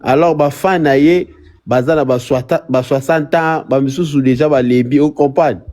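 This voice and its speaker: Caller, man with a mellow, dark voice, rambling and halting. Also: a little high, steady tone. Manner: rambling, halting with many restarts. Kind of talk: live call-in chat.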